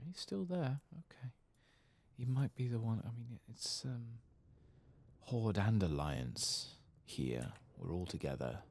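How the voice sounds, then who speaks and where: A soft-spoken man doing ASMR-style game commentary, muttering casually to himself as he thinks aloud and sometimes dropping into a whisper. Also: deep voice, a little hiss or hum. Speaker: gaming video host.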